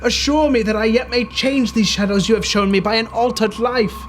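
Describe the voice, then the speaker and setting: A man's voice: very high voice, normal speed, a little hiss or hum, pitched above the performer's own voice. A man voicing a frightened, repentant old miser who pleads with passion in a dramatic storybook reading. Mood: desperate, anguished. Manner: impassioned, pleading.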